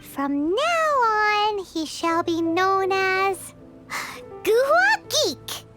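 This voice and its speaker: A woman's voice: very high-pitched, very animated, very slow, loud music behind the voice, exaggerated voice. A woman voicing a tiny, feisty childlike fairy companion in a video game, cute and petulant. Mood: irritated, sulky. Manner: cute, petulant, refers to herself in third person.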